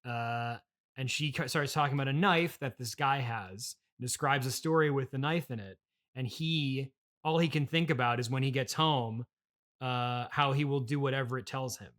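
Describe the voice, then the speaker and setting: Guy hosting a comedy podcast, sharp bright voice, stammers and hesitates a lot. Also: medium pitch, normal speed. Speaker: podcast host. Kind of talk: improvised comedic interview.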